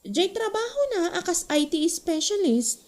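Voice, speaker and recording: A woman's voice: very high-pitched, sing-song, a little slow, a little mellow, full character voice. A woman playing a gossipy office co-worker in a comedy audio drama. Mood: deferential.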